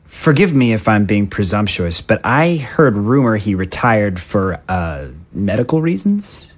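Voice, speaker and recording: Man acting a lively-pitched, flustered politician. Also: a little slow. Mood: awkward. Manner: hesitant, stammering, apologetic. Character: flustered, polite male politician with new aide. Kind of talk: audio drama dialogue acting.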